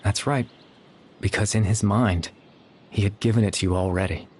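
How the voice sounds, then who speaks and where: A man voicing a young man who exists only in memory, calm and apologetic, speaking in a measured, quiet, explanatory way. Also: lowish voice, slight background noise, lightly acted. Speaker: game character. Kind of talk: video game voice acting.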